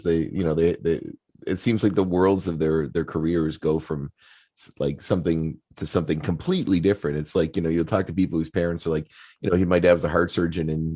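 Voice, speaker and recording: A man's voice: rumbling low, warm tone. A man hosting a podcast, chatting casually with his guest and rambling with lots of fillers. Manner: conversational, rambling with many fillers. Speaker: podcast host. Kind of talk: casual interview chat.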